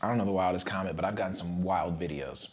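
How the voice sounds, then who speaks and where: A man from the Bay Area, a content creator, in casual sit-down chat with slangy, laid-back banter and a voice that is not very deep. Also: fairly even intonation, fast talker, a little hiss or hum. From San Francisco Bay Area.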